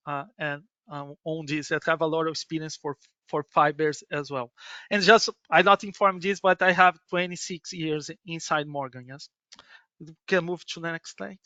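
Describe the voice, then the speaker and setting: Brazilian-accented man, bright high voice. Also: clear, resonant tone, dry recording, lo-fi sound. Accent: Brazilian Portuguese. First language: Portuguese. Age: middle-aged. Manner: halting non-native English with many restarts and "yes" tags. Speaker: business development manager presenting a webinar. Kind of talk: webinar presentation.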